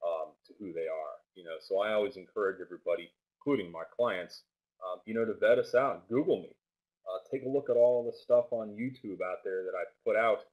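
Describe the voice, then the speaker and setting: A man presenting a live online trading webinar in a loose, casual way, with many fillers and restarts, speaking with a loud voice into a microphone set well back. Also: fairly low pitch, fairly quick, lo-fi sound. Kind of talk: casual live webinar talk with screen share. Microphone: desk microphone set far back.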